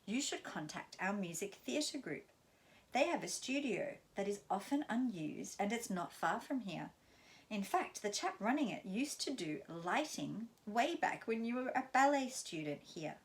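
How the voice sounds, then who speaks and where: A woman reading aloud and voicing a former ballet teacher, gushing and warm. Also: medium pitch, sing-song, a little slow.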